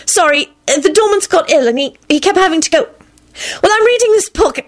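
A woman voicing a feisty, sharp-tongued young woman in a storybook reading, swinging between scolding and gushing. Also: high-pitched, wildly swooping pitch, fast talker, pitched above the performer's own voice, full character voice. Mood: flustered.

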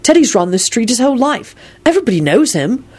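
A woman's voice: a little high, sing-song, fast talker, darkish tone, voice pushed up. A woman voicing an earnest young male shopkeeper. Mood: reassuring. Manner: earnest, concerned. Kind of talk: audiobook character voice.

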